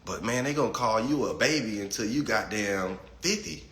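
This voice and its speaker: A man's voice: lowish voice, expressive, moderate pace, a bit bright, roomy sound, a little hiss or hum. Blunt, profane man in his twenties. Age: 20s. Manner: blunt, profane, repeats words when starting sentences. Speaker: livestream host reading chat. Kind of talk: blunt casual livestream talk.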